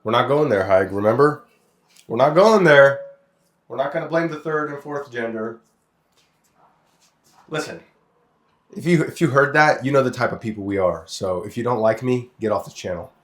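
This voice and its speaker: A man's voice: medium pitch, moderate pace, long pauses. Blunt, crude young man from Lebanon. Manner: blunt, crude, animated storytelling with swearing. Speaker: podcast co-host. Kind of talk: casual opinionated podcast chat.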